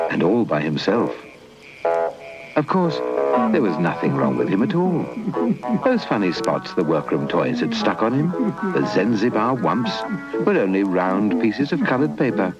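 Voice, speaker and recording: A British man narrating a scripted children's puppet story in an animated, dramatic way. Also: low voice, normal speed. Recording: dull lo-fi 1980s VHS tape. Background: music.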